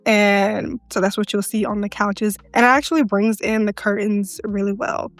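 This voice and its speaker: A woman with a soft voice, chatting casually to the viewer as she tours her filming setup in her living room, holding a wireless mic. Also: mid-range voice, steady tone, moderate pace, no echo. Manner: chatty, talks straight to the viewer. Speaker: content creator. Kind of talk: casual home setup tour vlog. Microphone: handheld wireless mic. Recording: dry close mic with faint music.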